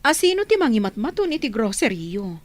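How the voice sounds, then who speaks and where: A woman's voice: fairly high pitch, very animated, quick pace, no echo. An actress playing a caring, earnest woman who helps orphans, asking concerned questions in an audio drama. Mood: probing, concerned.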